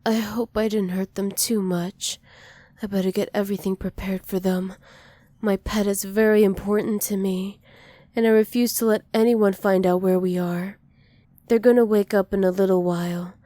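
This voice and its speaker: A woman playing a dominant, possessive villain who dotes on a captive, softly menacing and teasing in an ASMR roleplay. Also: mid-range voice, fairly even intonation, moderate pace, no room sound, lightly acted. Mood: regretful, protective. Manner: soft, teasing menace with breathy whispered endearments.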